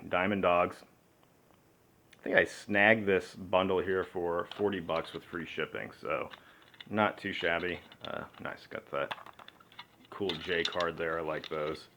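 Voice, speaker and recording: A man casually chatting through a cassette unboxing, relaxed and rambling, with frequent fillers and upbeat asides. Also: sits low. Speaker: music collector vlogger.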